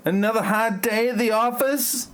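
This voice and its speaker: An amateur actor, a man playing an awkward, melodramatic character with stilted, halting delivery in a parody audio play. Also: sits high, a bit bright. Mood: flatly indignant.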